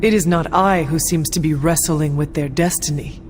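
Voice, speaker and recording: A woman playing a stoic, resolute warrior in a video game cutscene, with a formal, measured, deadpan delivery. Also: low-pitched, soft background music, natural voice. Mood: defiant.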